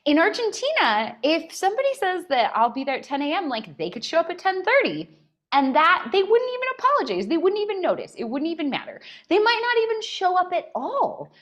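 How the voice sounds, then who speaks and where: Animated American woman in her forties. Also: very high voice, a little fast. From United States. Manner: animated and expressive, breaks into laughter. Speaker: podcast guest. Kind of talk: casual conversational storytelling.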